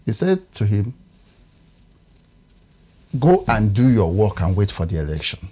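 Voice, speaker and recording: A man in his thirties with a Nigerian English accent, a political analyst talking in a TV studio, often pausing to search for words. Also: on the low side, big pitch swings, mellow, slightly rough. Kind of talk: studio discussion.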